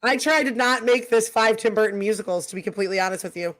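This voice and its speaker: A man's voice: high-pitched, quick pace, a bit bright. A man in casual podcast chat, blunt and teasing.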